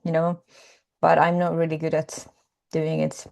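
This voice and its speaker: A woman's voice: sits low, completely flat delivery, measured pace, vocal fry, a little mellow. A young woman co-hosting a casual podcast, cheerful and giggly as she asks the questions. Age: young adult. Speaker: podcast co-host, language teacher. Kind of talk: casual chat.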